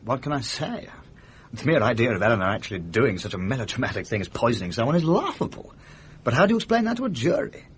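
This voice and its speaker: An actor playing a troubled, well-bred Englishman in a radio drama, polite, halting and defensive. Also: mid-range voice, very animated, slight background noise, lightly acted. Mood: uneasy, defensive.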